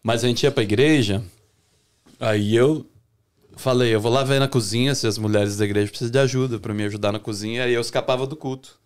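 A young Brazilian man with a Brazilian Portuguese accent, a chef chatting as a podcast guest in a relaxed way, often restarting his sentences. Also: mid-range voice, brisk, clean tone. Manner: relaxed, talks with many restarts and self-corrections. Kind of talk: casual interview chat.

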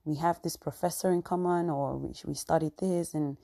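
A young woman from Cameroon hosts her podcast in a Cameroonian accent, speaking low and mellow. Her voice is husky and slightly breathy. She tells a personal story earnestly and reflectively, with plenty of fillers. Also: steady tone, moderate pace. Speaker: podcast host.

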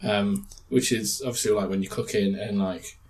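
A man's voice: lowish voice, steady tone, moderate pace, darkish tone. A young man with a blocked-up nose from a cold, chatting casually as podcast co-host, laughing often and swearing freely. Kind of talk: casual chat.

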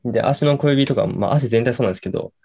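A man playing a late-night radio host who rambles haltingly in casual chat, in an amateur radio drama. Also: medium pitch, gravelly, a little mellow, slightly rough. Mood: musing, rambling. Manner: halting, filler-heavy, then bursts of words.

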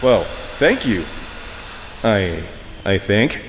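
A man's voice: lowish voice, sing-song, extremely slow, a little hiss or hum, full character voice. A man acting in an audio drama as a timid, obedient henchman who is polite, earnest and hesitant. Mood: meek, unsure. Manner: hesitant, deferential. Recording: reverberant hall.

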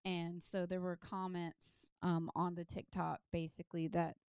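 Podcast guest: a woman with a slightly low, smooth voice, chatty and rambling, recorded dry. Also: little pitch movement, measured pace, darkish tone, dry recording. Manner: rambling, many side stories and ums. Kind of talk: casual storytelling chat.

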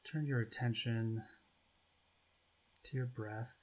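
A man leading a guided meditation as its guide, speaking in short, spacious phrases with long pauses between them. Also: mid-range voice, fairly even intonation, a little mellow.

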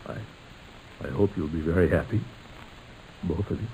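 Man playing a deep-voiced, sentimental radio uncle. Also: normal speed, mellow, close to an everyday voice. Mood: subdued, wistful. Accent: American. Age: middle-aged. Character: sentimental middle-aged uncle and family patriarch, hesitant, tender. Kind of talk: old-time radio sitcom acting. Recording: noisy vintage radio recording.

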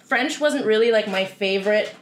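A woman's voice: medium pitch, normal speed. A woman in her twenties from Canada, hosting a podcast in a loose, chatty way with casual swearing. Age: 20s. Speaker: podcast host. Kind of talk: casual chat. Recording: echoey room with faint noise.

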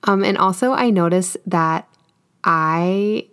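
A woman hosting a podcast and opening the episode in a casual, gushing way, nasal and sniffly from a cold and talking fast. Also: on the low side, a bit bright, clean tone. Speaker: podcast host.

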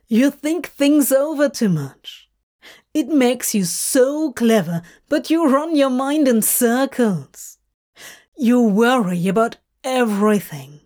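A woman's voice: fairly high pitch, darkish tone. Lady doing a sardonic guy demon from a storybook, blustery but tender, takes his time. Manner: blustering, reassuring, exasperated. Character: sardonic male demon, gruffly tender and exasperated.

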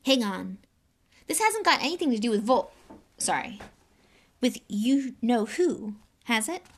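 A woman voicing an earnest, flustered young boy wizard who talks in hurried half-whispers and sometimes stammers. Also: on the high side, big pitch swings, slightly rough, pitched above the performer's own voice, exaggerated voice. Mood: puzzled.

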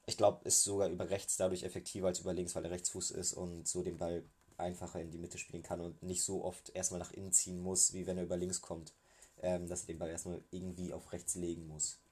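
A man with a cold talks through a football match he just watched, his voice low and dark. He speaks in a level, even tone with little melody. He pauses often with filler sounds as he works through his thoughts. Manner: hesitant, many filler sounds, thinking aloud. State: has a cold. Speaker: football fan reviewing a match. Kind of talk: casual spoken match recap.

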